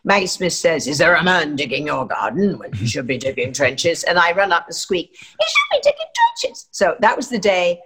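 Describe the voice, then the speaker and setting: A woman's voice: fairly low pitch, huge pitch swings, normal speed, a bit bright, faint music behind. An older woman from England, an actress, giving chatty, rambling interview answers full of fillers and restarts.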